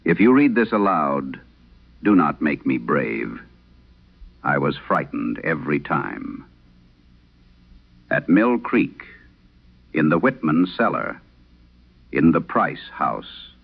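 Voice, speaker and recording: An actor playing an aging, haunted priest, giving a slow, solemn confession in a grave, measured horror radio monologue. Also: male voice, very deep voice, big pitch swings, vocal fry, breathy edge, full character voice. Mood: tired, sombre. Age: older. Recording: muffled vintage radio sound.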